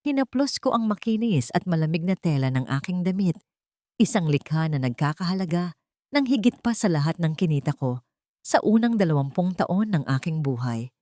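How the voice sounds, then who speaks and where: A woman narrates an audio melodrama from a script. Her voice sits a little low, and her delivery is measured, solemn and emotive. The sound is very dry, with no room around it. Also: expressive, dark tone, dry recording.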